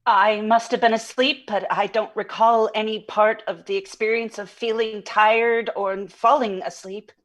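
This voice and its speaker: A woman playing a shaken witness in an audio drama, giving earnest, halting testimony about a strange night. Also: medium pitch, level tone, lightly acted. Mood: uneasy recall. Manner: halting, earnest, precise recall.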